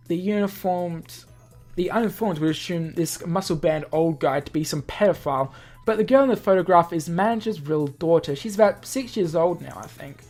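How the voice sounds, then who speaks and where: A man's voice: a little high, moderate pace, darkish tone. A man hosting a gaming video, reading a visual novel aloud with casual asides and correcting himself as he goes. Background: music.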